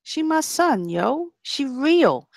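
Woman acting a lively, defiant streetwise young woman. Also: high-pitched, takes it slowly, creaky, no room sound, studio quality, heavily acted. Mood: defensive. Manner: slangy, defensive, casual. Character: defiant, loyal young streetwise female gang member. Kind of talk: script table read.

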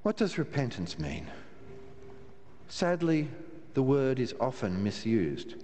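A man's voice: medium pitch, sing-song, warm tone. Older man preaching in a reverberant church. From Australia. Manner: measured, wry preaching with slow emphatic pauses. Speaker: minister. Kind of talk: sermon. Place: large church, from the pulpit. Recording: long church reverb, faint room hiss.

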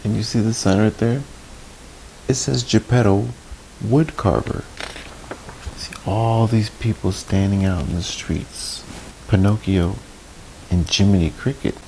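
A man in his thirties reading a children's storybook aloud, deliberately and expressively, with friendly asides. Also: lowish voice, vocal fry, mellow, rough recording. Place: small indoor room, seated on a couch. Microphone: clip-on lapel mic. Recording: faint background hiss.

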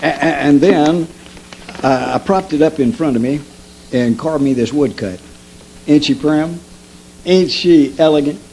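A man's voice: on the high side, measured pace, long pauses, mellow. An older American man playing an eager, boastful frontier newspaperman in a radio drama, folksy and stammering. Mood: proud, eager. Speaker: radio actor. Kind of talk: old-time radio drama re-creation, read from script. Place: convention meeting room, indoors. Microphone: stand microphone on stage. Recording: very noisy amateur live-stage video.

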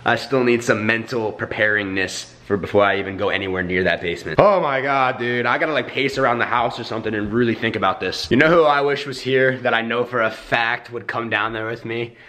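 A man's voice: medium pitch, brisk, few pauses, sharp tone. A young man vlogging to a handheld camera at arm's length in a house, talking in a hyped, playful way. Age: young adult. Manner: hyped, playful, whiny when pleading. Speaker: YouTube vlogger. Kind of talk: energetic casual vlog talk. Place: house interior, bedroom and hallways.

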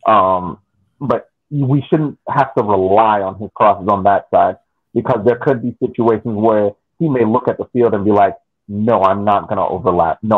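Male podcast panelist, explanatory and informal, raspy edge, narrow phone-band call audio. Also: fairly low pitch. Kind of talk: casual soccer analysis on a podcast. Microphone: video call.

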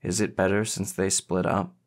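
A man's voice: deep voice, level tone, normal speed. A man voicing an anxious, sweet and sensitive teenage boy in audiobook dialogue. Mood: tense.

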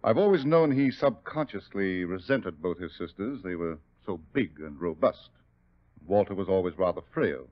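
An actor playing a smooth, formal doctor, politely evasive, in 1940s radio drama style. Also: male voice, low voice, lively intonation, moderate pace. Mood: regretful, explaining. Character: courteous, smooth-talking doctor, evasive under questioning. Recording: muffled old-time radio recording.

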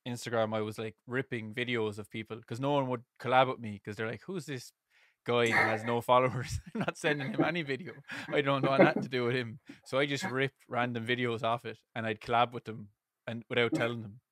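Loud energetic Irish man hosting a podcast. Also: medium pitch, brisk, no echo, studio quality. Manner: loud, energetic, laughing often. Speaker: podcast host. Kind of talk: casual chat. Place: home music room. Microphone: headset and studio mic.

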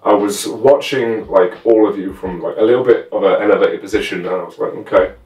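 A man's voice: on the low side, a little fast, darkish tone, far from the mic. A man in his twenties chatting casually and telling stories as a guest, recorded in a small room. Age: 20s. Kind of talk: casual chat. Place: small bedroom indoors. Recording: roomy echo, off the mic, faint music.

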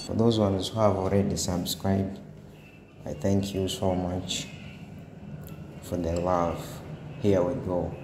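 Male vlogger, low-pitched, very slow delivery, phone-filmed mall tour with steady background noise. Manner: slow, naming brands one by one. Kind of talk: walking tour vlog narration. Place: large indoor shopping mall.

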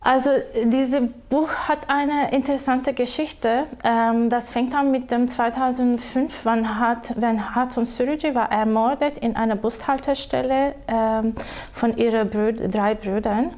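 A woman's voice: on the high side, fairly even intonation, unhurried, darkish tone. A middle-aged woman from Turkey, speaking German with a Turkish accent as a social sciences professor, hesitant, with many fillers and restarts. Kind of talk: interview statement.

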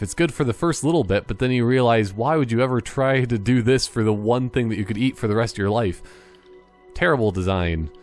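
Low-voiced man rambling through game commentary. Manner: rambling, thinking aloud in tangents. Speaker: gaming YouTuber. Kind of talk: casual, jokey gameplay commentary.

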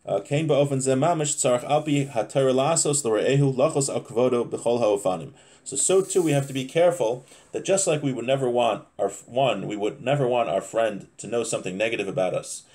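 Man teaching a religious text, halting and explanatory. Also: mid-range voice, darkish tone. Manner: halting, with repeated phrases and rephrasing. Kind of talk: informal lesson, reading Hebrew aloud and explaining in English. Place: small home room, indoors.

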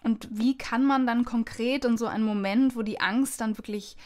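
A woman's voice: on the high side, fairly even intonation, moderate pace. A woman hosting a podcast interview, speaking in a conversational way. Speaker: podcast host.

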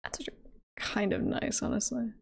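A woman chatting casually on a livestream in a giggly, playful, rambling way. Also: measured pace. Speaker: livestreamer, virtual avatar host.

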